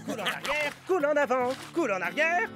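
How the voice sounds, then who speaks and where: An actor playing an eager, flattering young male virus in a French cartoon dub, with an admiring manner. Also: high voice, moderate pace. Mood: excited. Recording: music behind the voice.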